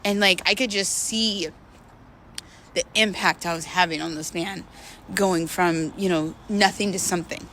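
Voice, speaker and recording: A woman talking in a casual, confessional monologue, candid and halting, repeating words for emphasis. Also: on the low side, fairly even intonation, bright, forceful tone, a little hiss or hum. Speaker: vlogger.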